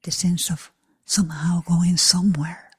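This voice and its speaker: Hushed, very slow breathy woman guiding meditation. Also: fairly low pitch, flat delivery, no echo, studio quality. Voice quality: breathy, husky, near whisper. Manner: hushed, unhurried, long pauses between short phrases. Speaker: meditation teacher. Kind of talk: guided meditation for a group.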